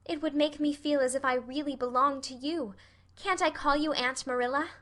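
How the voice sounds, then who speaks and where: A woman's voice: sits high, steady tone, moderate pace, a bit bright, voice pushed up. A woman voicing an earnest, dreamy young orphan girl in an audiobook, effusive and imploring. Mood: wistful. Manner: effusive, wordy, dramatic. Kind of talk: audiobook character voice.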